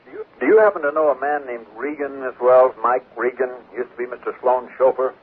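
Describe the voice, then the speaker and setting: An actor playing a matter-of-fact sheriff asking questions in a 1940s radio drama, on a muffled old recording. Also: male voice, medium pitch, brisk, husky, close to an everyday voice. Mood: businesslike. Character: businesslike county sheriff questioning a witness.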